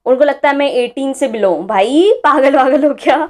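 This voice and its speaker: A woman's voice: high voice, normal speed. A young woman giving casual, mocking reaction commentary as a vlogger, exclaiming at what she watches.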